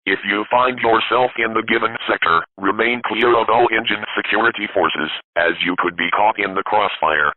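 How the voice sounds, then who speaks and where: A man voicing a grim, official park emergency broadcast announcer in a formal, impersonal, measured way. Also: on the low side, no room sound, close to an everyday voice. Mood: stern, detached. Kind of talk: scripted emergency warning announcement. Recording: dull lo-fi emergency broadcast audio.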